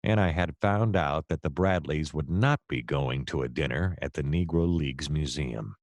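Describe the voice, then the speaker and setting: An actor with an American accent playing a hapless, wry male private detective in a noir audio play, deadpan and sometimes flustered. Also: rumbling low, normal speed, dry recording. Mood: wry, brooding. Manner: wry, deadpan narration, hesitant and flustered in dialogue.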